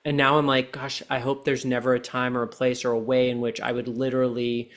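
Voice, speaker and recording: A man in his forties, a podcast guest and coach, talking earnestly and slowing down to stress his points. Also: mid-range voice, level tone. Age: 40s. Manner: deliberate, earnest coaching tone, slows down for key points. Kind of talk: reflective podcast conversation.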